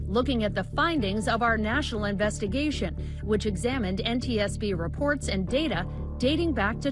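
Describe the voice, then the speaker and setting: A woman narrating a scripted investigative news report in the role of a reporter. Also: on the high side. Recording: music bed behind the voice.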